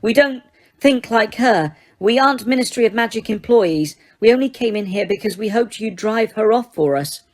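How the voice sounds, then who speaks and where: A woman's voice: on the high side, normal speed, pitched above the performer's own voice, natural voice. Lady voicing a teen schoolgirl, earnest, pleading, urgent. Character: bright, earnest teenage schoolgirl.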